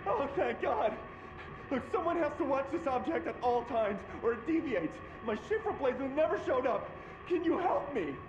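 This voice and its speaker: High-voiced panicky man, muffled echo. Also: very animated, normal speed, background noise. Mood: desperate. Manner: stammering, pleading. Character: panicky, exhausted male employee pleading for rescue. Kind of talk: video game voice acting. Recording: muffled, echoey cell with music behind.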